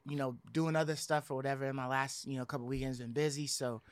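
A man's voice: mid-range voice, fairly even intonation, fast, dry recording. Casual man on a dry, close mic. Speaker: podcast co-host. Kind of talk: casual chat about video games.

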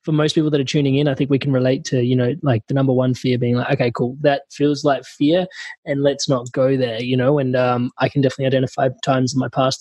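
A man hosting a podcast interview, excitable and loose, chatting with lots of fillers. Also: mid-range voice, husky, no room sound. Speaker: podcast host.

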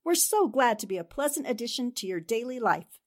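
Woman podcast performer, slightly high voice, scripted listener address. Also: sing-song, fairly quick. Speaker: podcast cast member thanking listeners.

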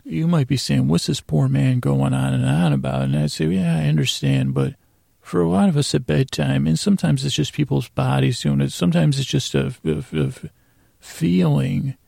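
A man hosting a podcast with a rambling bedtime monologue, meandering, halting and circling back on his own sentences. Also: on the high side, gravelly, dark tone, dry recording, clean studio sound. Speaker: podcast host.

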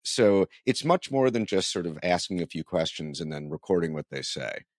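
A man's voice: sits low, animated, moderate pace, dry recording, clean studio sound. A man hosting a podcast, interviewing in a casual, exploratory way and rephrasing his questions as he goes.

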